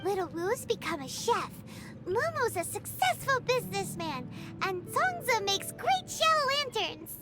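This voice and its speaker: A tiny floating fairy girl in a fantasy game, blunt and lively. Her voice sits very high and leaps all over the place while she exclaims and scolds. Game music plays loudly behind her. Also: a little slow, full character voice. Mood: cheerful. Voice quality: squeaky and bouncy. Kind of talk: game cutscene voice acting. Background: game music underneath.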